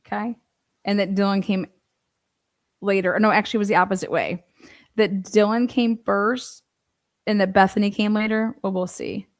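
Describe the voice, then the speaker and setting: A woman's voice: medium pitch, fairly even intonation, moderate pace, long pauses. A woman giving informal true-crime commentary, reading from a transcript and breaking in with pointed, skeptical asides and rhetorical questions.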